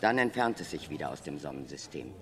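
An actor playing a defensive, impatient space agency spokesperson giving formal press statements in a radio drama. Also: male voice, a bass voice, sing-song, fast. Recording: long hall reverb.

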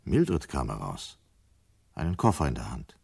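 A man's voice: rumbling low, sing-song, moderate pace, gravelly, darkish tone, husky, heavily acted. A man as a sober storyteller, narrating a dystopian radio drama from a script. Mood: measured.

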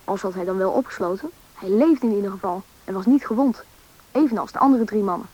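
A woman's voice: mid-range voice, animated, quick pace, natural voice. A woman playing a young storyteller who narrates a rescue adventure in a scripted radio play. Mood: narrating. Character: child companion telling the rescue story looking back. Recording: dull old broadcast, faint hiss.

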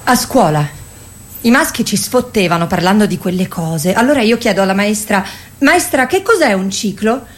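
A woman's voice: mid-range voice, animated, normal speed, natural voice. An actress playing a naive, curious woman who retells her girlhood in a radio theatre monologue.

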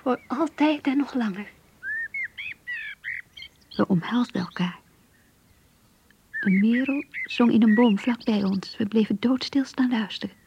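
A woman's voice: on the high side, background noise. An actress playing a young woman in love, reading her diary in a radio drama, intimate, yearning and earnest. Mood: tender, devoted. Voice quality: breathy, whispery. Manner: intimate, hushed, confiding.

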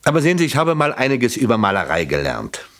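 An actor playing a shrewd police inspector in a German radio play, probing with polite, dry irony and skepticism. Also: male voice, medium pitch, huge pitch swings, a bit bright. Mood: skeptical probing. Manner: ironic, polite, measured, probing.

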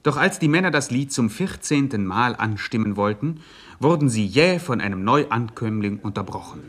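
Man as a pompous, mid-pitched radio chronicler. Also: expressive, normal speed, close to an everyday voice. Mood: mock-solemn. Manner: formal, pompous announcing. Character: pompous mock-serious male chronicler of gangster history. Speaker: narrator. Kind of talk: mock-documentary scripted narration of a satirical radio drama.

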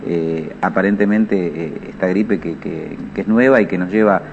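A man's voice: low voice, normal speed, creaky, a little hiss or hum. An Argentine man giving a spontaneous statement as an official, hesitant and repeating words, in lo-fi audio over music. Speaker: municipal inspections director. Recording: dull lo-fi audio, faint music. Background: faint music.

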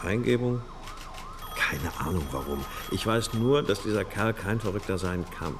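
Man playing a deep, brooding, animated police detective. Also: moderate pace, noisy background, lightly acted. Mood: brooding, insistent. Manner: questioning, insistent, thinking aloud. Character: brooding, intuitive male police detective reasoning aloud. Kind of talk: naturalistic German radio crime drama acting.

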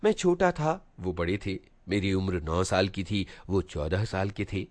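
A man reading a literary short story aloud as an expressive narrator. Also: fairly low pitch, dry recording. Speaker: narrator, audiobook reader.

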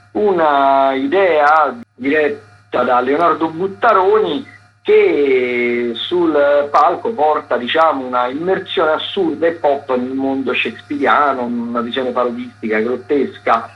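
A man's voice: on the high side, highs cut off. A man giving a conversational radio round-up of events as a regular critic, chatty and digressive.

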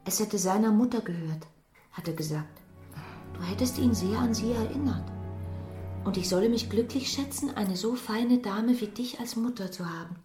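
An actress playing an earnest, disbelieving daughter in a radio drama, recorded in a roomy space. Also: female voice, medium pitch, normal speed, mellow, faint music behind, lightly acted. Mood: tender, disbelieving. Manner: earnest, incredulous.

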